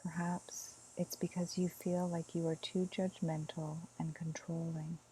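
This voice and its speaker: A woman leading a guided meditation as a teacher, speaking gently and soothingly in soft phrases with long pauses. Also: sits low, little pitch movement, slight background noise, rough recording.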